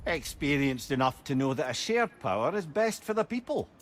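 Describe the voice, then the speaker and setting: An actor playing a wise, talkative advisor in a video game, eloquent and persuasive. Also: male voice, on the high side, sing-song, moderate pace, a little hiss or hum. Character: wise, talkative, encouraging male companion and advisor. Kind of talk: dramatic video game acting.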